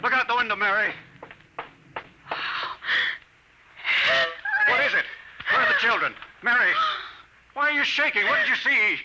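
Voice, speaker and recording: High-pitched 1950s American radio husband. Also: male voice, lively intonation, fast talker, pushed and bright, dull audio, heavily acted. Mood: alarmed. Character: sensible, skeptical 1950s suburban husband and father. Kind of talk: 1950s radio drama acting. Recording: very noisy old 1950s radio recording.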